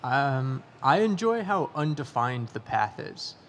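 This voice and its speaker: A man's voice: medium pitch, big pitch swings, slow, a little hiss or hum. Casual young man in his twenties. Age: 20s. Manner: casual, with likes and ums. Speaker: startup panelist. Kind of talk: conference panel discussion.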